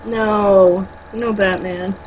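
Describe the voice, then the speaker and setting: A woman's voice: medium pitch, steady tone, drawn-out words, rough recording. Sarcastic, sweary woman over background noise. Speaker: co-host reading a novel aloud with mocking commentary. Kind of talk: read-aloud mixed with casual banter.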